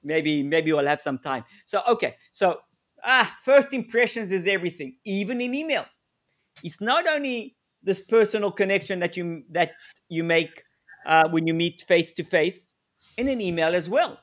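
A man's voice: sits high, animated, normal speed, fairly bright tone. A middle-aged man from South Africa presenting a live webinar over a video call, talking conversationally, repeating words as he thinks and acting out sample exchanges. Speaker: negotiation consultant presenting a webinar.

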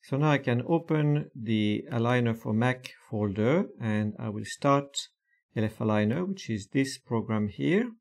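A man calmly walking through software steps one by one in a screen-recorded tutorial, with frequent hesitations. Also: mid-range voice, gravelly. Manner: slow, methodical step-by-step explanation with frequent fillers.

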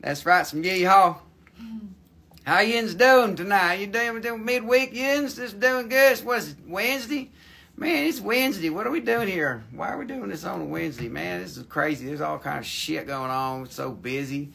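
A man voicing a loud, excitable country hick, drawling and whooping as he runs a live sale. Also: very high-pitched, moderate pace, few pauses, slight background noise, voice pushed up, full character voice. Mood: rowdy. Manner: hollering, drawling, goofy. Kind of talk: live-stream sales banter.

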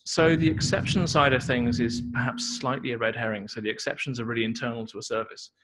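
A man's voice: mid-range voice, steady tone, fast talker, heavy background noise. A man answering audience questions at a tech meetup, casual and explanatory, full of "you know" and "sort of". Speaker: tech talk co-presenter. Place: meetup talk venue indoors.

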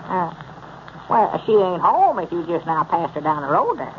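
An actor playing an evasive old country storekeeper in 1930s radio comedy, stammering in a Southern American rustic dialect. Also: male voice, high voice, big pitch swings, moderate pace. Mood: defensive, explaining. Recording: muffled, noisy old radio broadcast.

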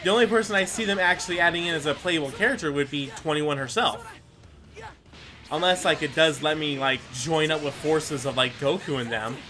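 A man's voice: a little high, a little fast, faint music behind. A man giving casual, rambling gameplay commentary as the host, self-deprecating and given to mild swearing. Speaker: gaming video host.

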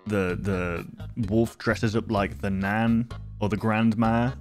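A man's voice: fairly low pitch, fairly even intonation, takes it slowly, soft background music. A man in his twenties hosting a reaction video, chatting casually and rambling in a conversational way, close on a desk microphone. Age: 20s. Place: small home room, indoors. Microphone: close desk microphone with headphones.

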